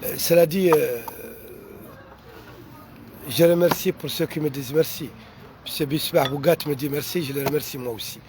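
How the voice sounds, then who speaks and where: A Mauritanian writer with an accent, French not his first language, answering audience questions in a halting way with many fillers and restarts. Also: on the high side, fairly even intonation, noisy background. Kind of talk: unscripted answers to online questions.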